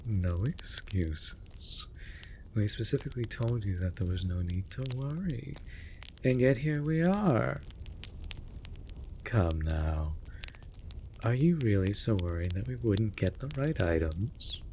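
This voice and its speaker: A low-pitched male raven familiar acted by a man speaks over faint background noise. He is formal and theatrical, an exasperated but devoted servant in a fantasy audio drama who chides because he cares. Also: very animated, slow pace, darkish tone, heavily acted. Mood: exasperated, scolding. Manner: theatrical, formal, scolding yet caring. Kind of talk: scripted fantasy audio roleplay.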